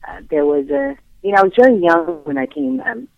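A Haitian-born woman, a guest on a radio interview, speaking earnestly and reflectively and pausing to find her words over the phone. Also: medium pitch, very animated, vocal fry, no echo. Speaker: guest, playwright and human rights attorney. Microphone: phone line. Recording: tinny dry phone line.

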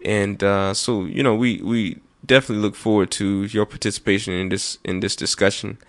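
A man hosting a call-in radio talk show, speaking with frequent pauses and restarts, slowing down to read out phone numbers. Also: fairly low pitch, steady tone. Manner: many fillers and restarts, slow over numbers. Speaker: radio host.

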